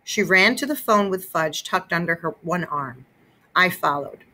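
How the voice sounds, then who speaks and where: A woman narrating a children's story aloud in an expressive read-aloud style. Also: fairly low pitch, unhurried.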